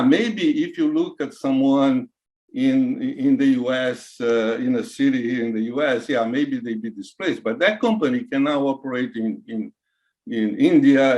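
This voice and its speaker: A Brazilian man in his sixties with a Brazilian Portuguese accent, a retired IT executive answering interview questions in a halting way, full of fillers and restarts. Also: mid-range voice, a little mellow, poor audio. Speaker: guest, retired CIO.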